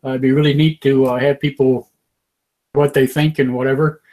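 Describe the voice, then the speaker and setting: An older man with a raspy voice, hosting a call-in radio talk show in an easygoing way full of filler "uh"s and small chuckles. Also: mid-range voice, little pitch movement, moderate pace, a little mellow. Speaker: host.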